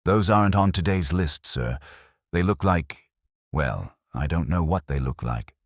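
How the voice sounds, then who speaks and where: Man voicing an eager sergeant, deep-voiced. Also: expressive, unhurried, no room sound, clean studio sound, heavily acted. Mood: puzzled. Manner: blunt, urgent. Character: eager, blundering male police sergeant, easily flustered.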